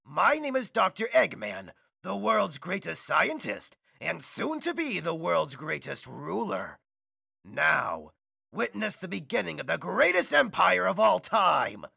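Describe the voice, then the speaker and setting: A man voicing a gloating mad scientist villain with a pompous, theatrical, loud delivery. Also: high-pitched, huge pitch swings, unhurried, gravelly, husky, no echo, clean studio sound, full character voice. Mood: grandiose.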